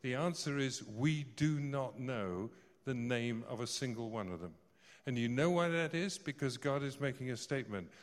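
A man's voice: medium pitch, lively intonation, moderate pace. A man in his sixties preaching a sermon, telling stories with joking asides. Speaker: visiting preacher. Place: church service hall. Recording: long reverb of a large hall.

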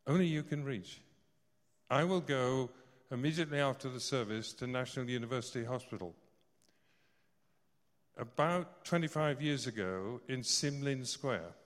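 A man's voice: mid-range voice, normal speed, pauses often. A man in his sixties preaching a sermon, telling stories with joking asides. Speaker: visiting preacher. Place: church service hall. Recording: long reverb of a large hall.

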